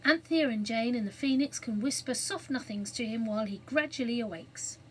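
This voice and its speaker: A woman's voice: a little high, normal speed, slight background noise, natural voice, low-quality recording. A woman reading aloud, voicing a stern, earnest young boy who talks in whispers.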